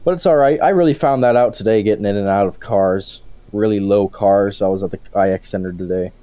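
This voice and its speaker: Mellow-voiced eighteen-year-old man, rambling. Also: on the low side, fairly quick, no room sound. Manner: rambling, self-interrupting, with frequent restarts. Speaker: podcast co-host. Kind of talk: casual conversational podcast.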